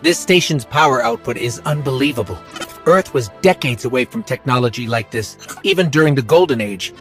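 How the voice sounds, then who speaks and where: A man's voice: medium pitch, expressive, a little fast, fairly bright tone, lightly acted. A man playing a small, earnest, curious floating robot companion in a video game, alert and informative, with music under the voice.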